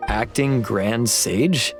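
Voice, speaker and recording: A man's voice: mid-range voice, very slow, faint music behind. An actor playing a friendly, concerned male fellow scholar in a video game.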